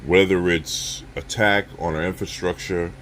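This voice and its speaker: A man casually demonstrating a product as a reviewer, speaking haltingly and addressing viewers directly. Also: low voice, steady tone, a bit bright, slight background noise.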